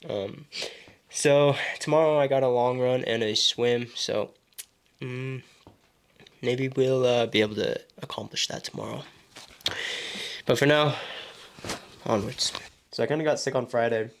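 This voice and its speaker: A young man chatting casually in a vlog about his training, his voice hoarse and throaty from a cold, rambling with many fillers. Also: mid-range voice, a little slow, pauses often. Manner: loose and rambling, many ums and restarts. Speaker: vlogger training for a triathlon.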